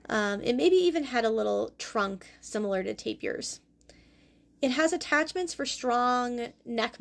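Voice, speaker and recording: Female host-teacher, young adult, slightly high voice with some vocal fry, clear explanatory lesson delivery. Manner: clear explanatory delivery, careful over hard names. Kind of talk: educational fossil presentation.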